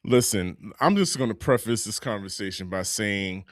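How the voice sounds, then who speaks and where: A man with a fairly low voice talks casually as a podcast co-host. He is emphatic and repeats words for effect. The sound is close and dry. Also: expressive, moderate pace, no room sound.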